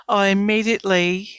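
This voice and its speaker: A woman's voice: mid-range voice, smooth, no room sound, clean studio sound. An Australian woman in her fifties speaking candidly and reflectively as a guest in a conversational interview. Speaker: interview guest, small business owner.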